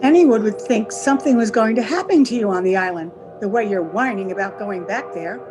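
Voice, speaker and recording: A woman's voice: mid-range voice, lively intonation, brisk, natural voice. A woman playing a cold, scornful, social-climbing wife with a hard sneer, in 1930s radio melodrama style. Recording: noisy ambience under the voice.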